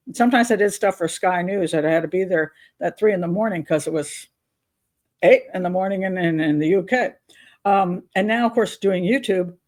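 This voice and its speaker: A woman's voice: lowish voice, a little mellow. An older woman hosting a casual advice vlog, talking in a chatty, candid, rambling and self-deprecating way. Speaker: advice show host on YouTube.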